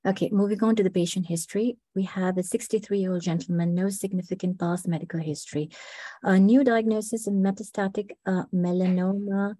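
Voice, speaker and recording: A woman with a hoarse voice, recovering from flu, presents a medical case over a video call at a measured pace, with frequent hesitations. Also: mid-range voice, steady tone, mellow, no echo. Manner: measured, methodical, frequent "uh" fillers. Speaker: clinical research fellow presenting a case. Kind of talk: online medical lecture.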